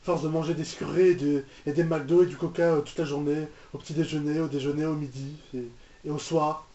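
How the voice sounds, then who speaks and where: A man's voice: on the high side, flat delivery, fairly quick, background noise. A man giving casual, off-the-cuff reaction commentary, hesitant, repeating words and trailing off. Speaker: reaction video host. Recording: echoey room, muffled lo-fi microphone.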